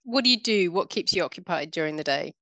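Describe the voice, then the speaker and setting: Woman hosting an interview podcast, lowish voice, dry close mic. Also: animated, fast, bright, forceful tone, no room sound, lo-fi sound. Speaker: podcast host. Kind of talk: interview host.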